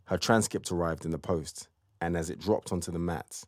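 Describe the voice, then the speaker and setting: A man narrating an audio play in a measured, scripted storytelling style. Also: sits low, steady tone, darkish tone, no room sound, clean studio sound.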